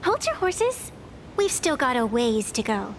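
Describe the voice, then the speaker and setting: High-pitched, proud, playful girlish arbiter. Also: big pitch swings, unhurried, slight background noise. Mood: confident. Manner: theatrical, boastful, lightly indignant. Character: proud, playful young female arbiter with schoolgirl charm. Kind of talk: video game voice acting.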